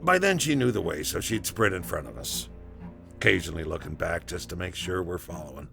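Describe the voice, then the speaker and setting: An actor playing a rattled soldier telling a halting, conversational first-person story in an audio drama, with a gravelly voice. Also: male voice, sits low, animated, music in the background, exaggerated voice. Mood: wry, uneasy. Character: rattled male battalion soldier recounting a disturbing morning.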